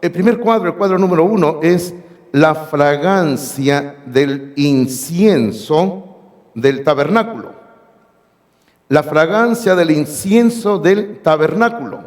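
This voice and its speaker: A hoarse man preaching a sermon as pastor, emphatic and speaking directly to his congregation in a church sanctuary. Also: fairly high pitch, takes it slowly, a little mellow. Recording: long reverb of a church hall.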